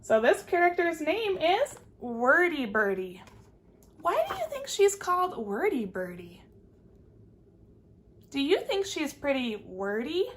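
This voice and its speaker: Female kindergarten teacher for a read-aloud: high, very lively intonation, unhurried, encouraging questions. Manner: encouraging, expressive, addressing young children.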